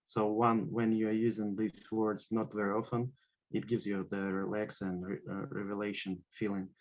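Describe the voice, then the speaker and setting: A man hosting a podcast and asking interview questions, with halting, non-native phrasing. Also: on the low side, level tone. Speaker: podcast host. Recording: dull lo-fi call audio.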